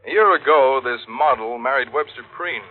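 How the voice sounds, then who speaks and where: A man's voice: medium pitch, moderate pace, lightly acted. An actor playing a businesslike, slightly teasing insurance man in a 1950s radio drama. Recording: tinny, phone-like old radio recording.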